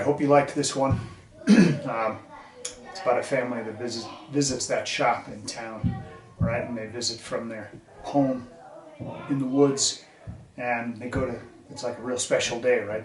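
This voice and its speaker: A man's voice: mid-range voice, fairly even intonation, unhurried, pauses often, low-quality recording. A man reading a children's story aloud and talking to young listeners in a simple, explanatory way. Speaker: teacher reading a picture book aloud to children.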